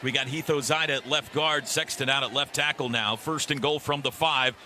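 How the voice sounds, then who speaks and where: A man doing live radio play-by-play of a football game in clipped phrases that build into excited calls. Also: medium pitch, a little fast. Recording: loud stadium noise behind the broadcast voice. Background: stadium crowd and ambience.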